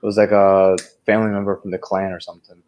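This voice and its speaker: A man with a fairly low voice speaks as a podcast co-host. He talks casually and wanders through tangents as he discusses films. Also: level tone, fast, darkish tone. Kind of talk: casual film review discussion.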